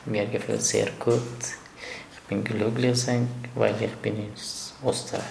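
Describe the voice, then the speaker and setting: A young man from Afghanistan with an Afghan accent, answering interview questions in halting, broken German. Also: lowish voice, flat delivery, a little mellow. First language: language of Afghanistan. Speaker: interviewee, asylum seeker and runner. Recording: echoey room with clear background noise.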